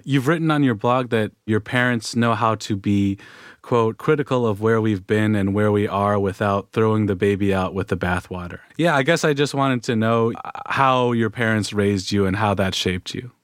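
A man's voice: on the low side, moderate pace, dry recording, studio quality. A man interviewing a guest, asking short questions and giving brief prompts.

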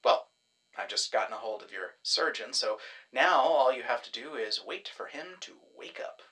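A man's voice: medium pitch, animated, moderate pace, sharp tone. A man playing a gloating, giddy rival schemer. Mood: cheery. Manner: wheedling, gloating, playful, prone to whispering. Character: boastful, giddy man running a rival disappearance agency. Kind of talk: audio drama.

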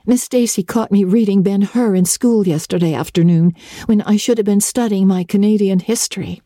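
A woman's voice: mid-range voice, normal speed, mellow, no room sound, lightly acted. A woman voicing an earnest, talkative thirteen-year-old girl in a dramatic storybook reading, with breathless, solemnly emphatic delivery. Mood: flustered, confessing.

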